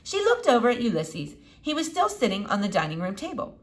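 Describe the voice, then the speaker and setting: A woman narrating a children's novel as an expressive read-aloud. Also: fairly high pitch, a little fast. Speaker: storybook reader.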